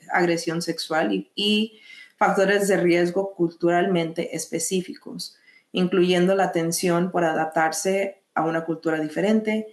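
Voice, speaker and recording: A woman with a Mexican accent presenting a mental health lesson, measured, pausing often to restart phrases. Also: lowish voice, roomy sound. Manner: measured, with frequent hesitations and restarts. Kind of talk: educational webinar presentation.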